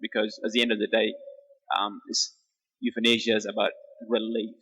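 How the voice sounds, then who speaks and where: An earnest, deliberate man asking a personal question from the floor at a public debate. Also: on the low side, little pitch movement, sharp tone, husky, highs cut off. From born in Ethiopia. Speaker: audience questioner. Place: large town hall. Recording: echoey large hall.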